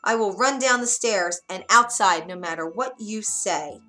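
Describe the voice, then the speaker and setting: A woman's voice: mid-range voice, measured pace, sharp tone, faint music behind, low-quality recording. A woman voicing a young fairy-tale girl, yearning and stubborn, with a plaintive, insistent manner. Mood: defiant.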